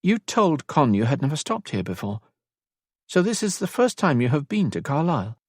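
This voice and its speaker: A man's voice: sits high, very animated, a little fast, darkish tone, husky, no room sound, studio quality, pitched above the performer's own voice, full character voice. A man voicing a spirited, curious, stubborn young English noblewoman in an audiobook. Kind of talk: audiobook character dialogue.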